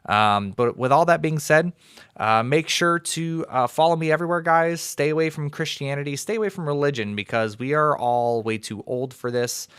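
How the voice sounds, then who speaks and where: A man's voice: a little high, normal speed, a bit bright, clean tone, no room sound, clean studio sound. Young American man hosting a call-in stream. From United States. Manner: conversational, filler-heavy, upbeat sign-off. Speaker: livestream host. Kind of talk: casual call-in debate stream.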